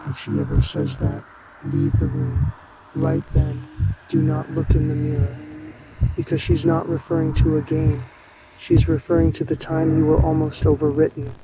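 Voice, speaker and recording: A man narrating a scripted horror emergency broadcast in a grave, ominous, measured way. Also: fairly high pitch, noisy background.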